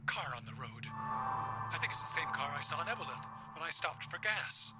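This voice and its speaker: An actor playing a frantic, loving old grandfather in an audio drama, speaking urgently and haltingly. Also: on the high side, expressive, over loud music, lightly acted. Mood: alarmed.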